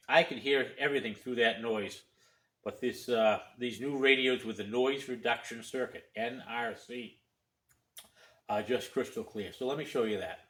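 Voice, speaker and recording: A man with a bright, forceful voice talks casually, like a hobbyist hosting his own demonstration. He has a folksy, rambling manner and often circles back over his phrases. His pitch sits in a middle range. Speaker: CB radio hobbyist host. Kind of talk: casual chat and gear demonstration.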